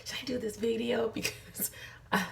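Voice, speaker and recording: A middle-aged woman vlogging in a casual, encouraging way while losing her voice, hoarse and full of fillers. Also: on the high side, steady tone, moderate pace, a bit bright, recorded from a distance. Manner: chatty, many fillers, earnest encouragement. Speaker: lifestyle vlogger. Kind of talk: casual motivational talk to camera.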